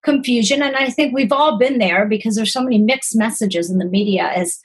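A woman in her forties with a fairly high voice that is a little husky. She speaks as a guest, telling personal stories in a conversational way. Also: brisk. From born in Greece, grew up in the United States. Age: 40s. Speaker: podcast guest, holistic nutritionist.

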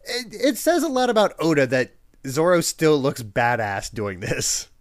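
A man's voice: on the high side, a little slow, no room sound, studio quality. A man hosting a casual podcast, a little congested from a head cold, laughing often as he chats. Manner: laughing, animated, acts out quotes. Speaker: podcast host.

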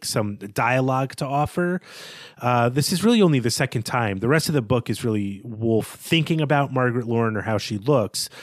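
A man's voice: mid-range voice, no echo, clean studio sound. A man co-hosting a book podcast, thinking aloud in an informal, analytical way. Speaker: podcast co-host discussing a novel. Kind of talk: analytical conversational book discussion.

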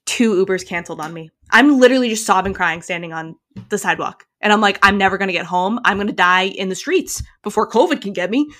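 A woman's voice: mid-range voice, fast talker. Chatty young woman telling a story. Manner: animated storytelling, frequent "like" and "literally". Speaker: podcast co-host. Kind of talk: casual chat.

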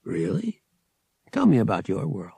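A man voices a wry young male pilot in an audiobook. He talks casually and a little hesitantly, with slang. The voice is husky and swings widely in pitch, recorded close and dry. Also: wildly swooping pitch, normal speed, dark tone, no room sound, exaggerated voice. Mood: curious, awkward.

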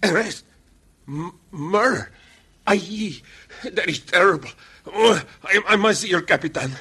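An actor playing a boastful old Mexican lawyer in a radio adventure, pompous and speaking accented, broken English. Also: male voice, high-pitched, lively intonation, measured pace, sharp tone, slightly rough, exaggerated voice. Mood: shocked, self-important.

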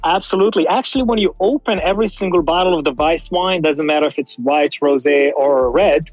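A man from Morocco, a native French speaker, talks as an interview guest with a slightly high voice. He tells his story conversationally and haltingly, often pausing mid-phrase. Music plays softly behind him. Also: rough recording. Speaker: interview guest, wine brand owner.

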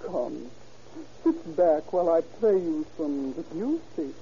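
An actress playing an affected, melodramatic film diva, grandiose and self-pitying, in old-time radio style. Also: female voice, sits low, lively intonation, slow, warm tone, exaggerated voice. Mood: lovelorn, melodramatic. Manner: grandiose, theatrical, sighing. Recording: muffled vintage radio with faint noise.

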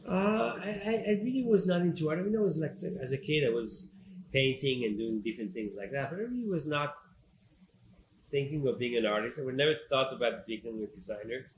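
French-accented man, halting, in an echoey room. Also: medium pitch, expressive. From Morocco and France. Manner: halting, repeats phrases. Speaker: fashion designer, interview guest. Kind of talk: conversational interview. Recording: echoey room with faint background noise.

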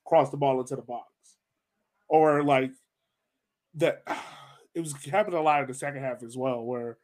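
A man's voice: medium pitch, moderate pace, darkish tone. A man talking casually as a soccer podcast host, informal and thinking aloud as he goes. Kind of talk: casual soccer post-match discussion.

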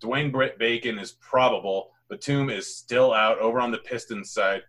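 Male podcast host, bright and forceful tone, upbeat rapid-fire picks talk. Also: medium pitch. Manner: upbeat, rapid-fire sponsor read and game breakdown. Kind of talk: fantasy basketball podcast chat.

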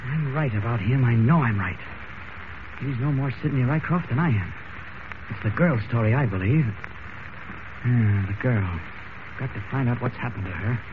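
An earnest newspaper reporter in a vintage radio serial acted by a man, speaking as if thinking aloud. The voice sits at a medium pitch, heard through a thin, tinny band with clear background noise. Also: moderate pace, pauses often, lightly acted. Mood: puzzled. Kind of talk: 1940s radio acting. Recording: noisy, tinny old radio recording.

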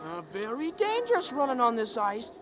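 A brash bystander hands out wisecracks in easy slang. Her voice is high and swoops all over. The tone stays breezy and offhand. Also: sing-song, moderate pace. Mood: wry. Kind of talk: 1940s radio acting.

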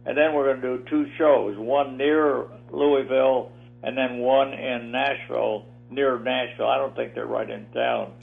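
A man of eighty-nine talks by phone, rambling and circling back on his words. His voice is gravelly and the line sounds thin and tinny, with faint music underneath. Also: mid-range voice, fairly even intonation, unhurried. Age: 80s. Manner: rambling, repeats words, chatty. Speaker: guest. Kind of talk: casual phone interview. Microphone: telephone.

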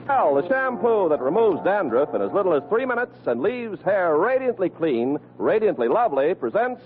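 Very animated old-time radio announcer man. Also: sits high, moderate pace. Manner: theatrical, emphatic delivery. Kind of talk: 1940s radio announcing, scripted. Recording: tinny vintage radio broadcast, music behind.

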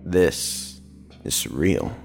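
Gruffly blunt agent, low-pitched, audio drama, impatient, terse, music bed. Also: male voice, sing-song, very slow, heavily acted. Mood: puzzled. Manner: terse, needling. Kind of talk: science fiction audio drama acting.

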